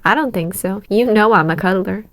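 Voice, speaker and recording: A woman's voice: medium pitch, fairly quick, no room sound, close to an everyday voice. A woman voicing an earnest, affectionate teenage girl hero in a story reading, with hesitant phrasing. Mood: fond. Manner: hesitant, filler-strewn dialogue.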